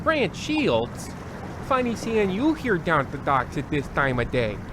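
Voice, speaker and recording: A man performs a coy little underworld snitch in a comic radio drama. The pitch is high and very animated, and dockside ambience is clearly heard behind it. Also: unhurried, background noise. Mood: casual. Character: cagey, small-time male dockside informant.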